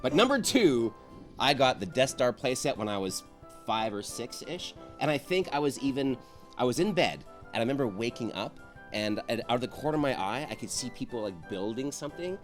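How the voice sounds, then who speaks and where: Lively man chatting over music. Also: mid-range voice, brisk. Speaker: panelist on a TV show. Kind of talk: casual chat, personal storytelling.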